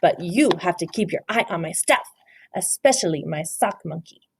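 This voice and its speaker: A woman voicing an excitable little kitty boy who plays at being a Chihuahua astronaut, exclaiming and drawing out words in a storybook. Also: lowish voice, moderate pace, vocal fry, a little hiss or hum. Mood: eager, calling out.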